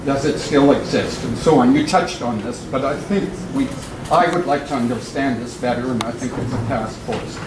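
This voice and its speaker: A man in the audience asking a long question, speaking in a measured way with pauses. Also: medium pitch, expressive. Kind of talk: question from the floor. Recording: echoey far-off room recording with noise.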